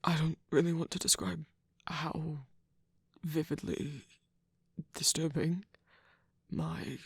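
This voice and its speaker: Someone acting out a proud hurt villain for asmr romance, super breathy n whispery, slow halting delivery, soft spot underneath. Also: medium pitch, little pitch movement, no room sound, clean studio sound, full character voice. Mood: shaken, haunted. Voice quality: breathy, husky, often whispering. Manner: hesitant, halting, sighing. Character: wounded, proud villain, secretly vulnerable, tender toward a lover. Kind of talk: ASMR romantic audio roleplay.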